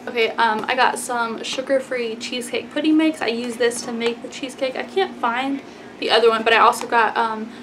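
A woman in her twenties from Texas with an American accent, chatting casually and informally to camera as a vlogger, with frequent "like" and "y'all". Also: on the high side. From Texas, United States. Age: 20s. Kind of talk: casual grocery haul chat to camera. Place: small plain indoor room. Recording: clearly noisy room background.

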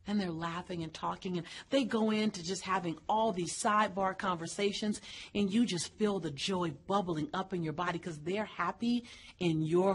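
A woman motivational speaker leads a guided meditation in an unscripted, soothing and deliberate way, leaning on emphatic phrases and repetition. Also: medium pitch, husky.

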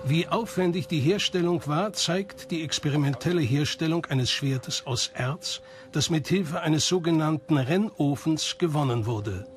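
Documentary narrator, man, slightly high register, scripted read over a music bed. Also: measured pace. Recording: music behind the voice. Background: background music.

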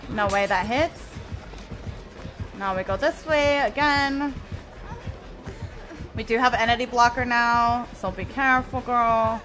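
A woman whose first language is Spanish, streaming live gameplay and chatting with her viewers while she plays. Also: a little high. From Mexico. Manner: chatty, reads and answers chat while playing.

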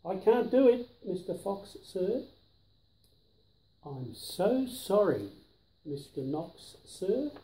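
A man's voice: high voice, warm tone, roomy sound, heavily acted, rough recording. An older man voicing a tongue-tied, exasperated storybook character who protests and complains in a fussy way. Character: exasperated, tongue-tied male Mister Knox, reluctant and complaining.

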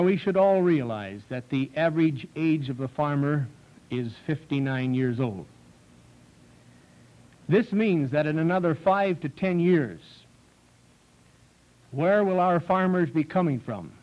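Slow, earnest pastor on old film. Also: male voice, fairly high pitch. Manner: slow, earnest, measured delivery. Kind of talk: televised address to farmers. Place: small TV studio set. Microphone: desk stand mic. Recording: dull 1960s film soundtrack.

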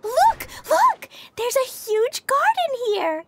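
A woman's voice: very high voice, huge pitch swings, takes it slowly, exaggerated voice. A woman voicing an eager, innocent little adventurous girl with a childlike voice in a video game, over music. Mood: excited. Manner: eager, exclaiming. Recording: music behind the voice.

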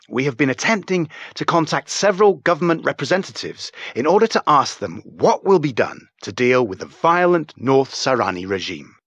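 Formal, animated TV news anchor. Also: male voice, mid-range voice, no room sound, lo-fi sound. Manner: formal, measured, emphatic newsreader delivery. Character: serious, formal male television news anchor. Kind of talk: scripted news reading.

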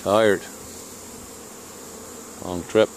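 A beekeeper from Canada narrating his bee yard outdoors in a calm, unhurried way, filming on a handheld phone. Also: deep voice, a little hiss or hum. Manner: calm, unhurried commentary in short phrases. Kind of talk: casual outdoor video narration. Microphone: handheld phone camera. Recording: clear bee buzzing outdoors.

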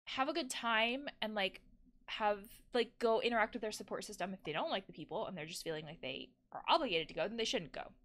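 A woman in her thirties giving casual, expressive game commentary, thinking aloud in a conversational way. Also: mid-range voice, fairly quick. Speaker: counselor and video game streamer. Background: music.

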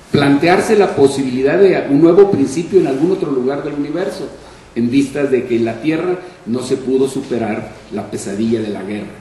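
In a very reverberant room, picked up a little far from the microphone, an older man addresses a panel event. His voice is soft-edged and mellow, and he speaks in deliberate, formal, measured phrases. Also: fairly high pitch. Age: older, 60s or 70s. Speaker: panel speaker on science fiction. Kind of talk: public talk at a discussion panel. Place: indoor room at a panel table. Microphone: shared table microphone on stand. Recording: echoey room, distant mic, faint noise.

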